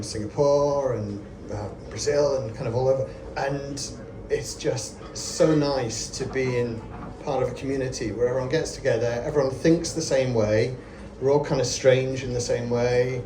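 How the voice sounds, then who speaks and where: A man in his forties giving a friendly, self-deprecating lightning talk at a meetup, chatting casually with lots of fillers. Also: medium pitch. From Scotland. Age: 40s. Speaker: conference speaker. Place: event venue with audience. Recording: echoey room with ambient noise.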